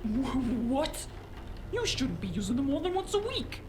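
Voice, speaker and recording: A woman playing a feisty, loyal fighting sidekick in an audio drama, brisk and blunt. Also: high-pitched, big pitch swings. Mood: exasperated. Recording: noisy ambience behind the voice.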